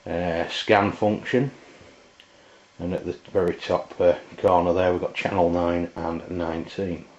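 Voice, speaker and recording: English guy reviewing gear off the cuff, slow and halting, dull lo-fi echoey sound. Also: sits low, level tone, a little mellow. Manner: halting, hesitant, pausing mid-sentence. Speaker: product reviewer. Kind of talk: informal hands-on demonstration, unscripted. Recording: roomy, dull lo-fi camera sound.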